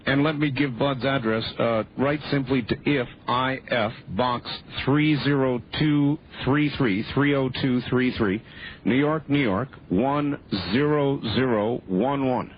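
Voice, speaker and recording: A man hosting a late-night call-in radio show, keeping things brisk and moving callers along against the clock. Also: mid-range voice. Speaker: radio talk show host. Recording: muffled AM radio broadcast with faint hiss.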